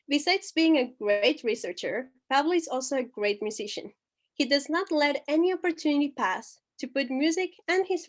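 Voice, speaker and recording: A woman hosting an online event, reading a speaker introduction from notes with small stumbles. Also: sits high. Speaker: event host.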